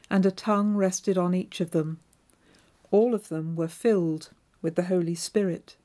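Woman preacher, lowish voice, measured and clear. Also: mellow. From Devon, England. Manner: measured, clear liturgical delivery. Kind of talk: scripture reading and sermon.

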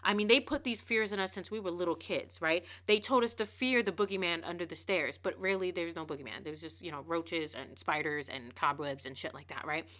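A woman hosting a podcast, giving a casual motivational monologue. She talks fast and emphatically, repeating words and restarting phrases. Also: on the low side, fairly bright tone. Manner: emphatic, preachy. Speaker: podcast host.

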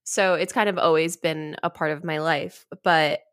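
Female podcast guest, 20s, slightly low voice, dry close recording, casual conversational delivery. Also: fairly even intonation, normal speed, a bit bright, no room sound. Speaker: podcast guest, cosplayer and actress. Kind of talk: casual chat.

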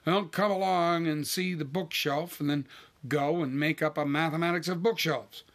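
A man's voice: a little high, steady tone, normal speed, fairly bright tone, voice pushed up, lightly acted. A man voicing a blunt, easily frustrated wife in a story, emphatic and insistent. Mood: forceful, insistent.

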